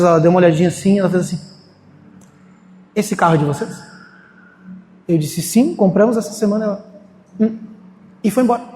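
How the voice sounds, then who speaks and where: A man's voice: high-pitched, darkish tone, a little hiss or hum. A man preaching a sermon in a church in a casual, storytelling way, acting out the voices of people he quotes. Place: church hall. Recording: echoey hall, faint ambience.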